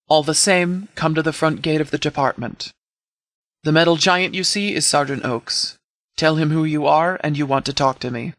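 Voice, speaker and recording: A commanding video game sheriff, acted by a man, pitched a little above the usual male range. He speaks in measured, formal sentences with stern authority, like a shrewd negotiator laying down terms. The sound is close and dry, free of room reflections. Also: a bit bright, dry recording. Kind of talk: scripted game dialogue.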